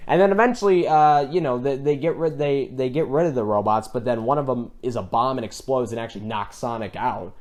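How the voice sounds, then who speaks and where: बातूनी पुरुष पॉडकास्ट होस्ट, अनौपचारिक अंदाज़. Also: male voice, medium pitch, a little fast, hardly any pauses, darkish tone. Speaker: podcast co-host. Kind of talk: casual film review chat.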